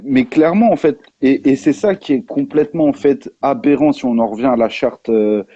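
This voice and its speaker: Husky man on muffled, echoey stream. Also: medium pitch, normal speed, mellow. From France. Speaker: live discussion participant. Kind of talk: casual personal testimony.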